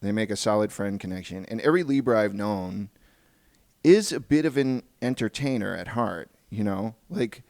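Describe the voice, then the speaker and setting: A man hosting a podcast in casual interview chat, halting and often restarting his phrases. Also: fairly low pitch, lively intonation, darkish tone. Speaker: podcast host. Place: podcast recording.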